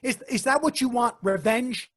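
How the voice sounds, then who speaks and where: An actor playing a jittery, defensive young fisherman in a radio drama, husky and stammering. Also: very high-pitched, normal speed, fairly bright tone. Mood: panicked, defensive. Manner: stammering, agitated. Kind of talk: 1940s radio drama acting.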